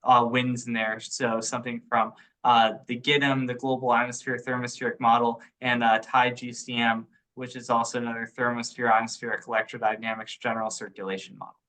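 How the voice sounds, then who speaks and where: A man with a sharp, bright voice walks listeners through technical research on a teleconference. His delivery is informal and explanatory, with halting moments as he thinks aloud. The pitch sits in an ordinary male range. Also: flat delivery, poor audio. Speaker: presenter summarizing research. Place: online teleconference.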